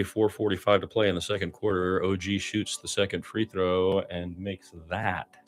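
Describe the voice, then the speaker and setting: Low-voiced man calling basketball, music behind. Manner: opinionated, conversational, exclamatory. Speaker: basketball play-by-play announcer. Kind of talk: live radio sports play-by-play with blunt opinion.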